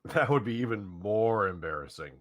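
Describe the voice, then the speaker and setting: A man's voice: lowish voice, lively intonation, moderate pace, lightly acted. A man reading the part of an awkward teenage boy in a casual staged reading, stammering and repeating himself nervously. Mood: flustered. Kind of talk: casual script read-aloud.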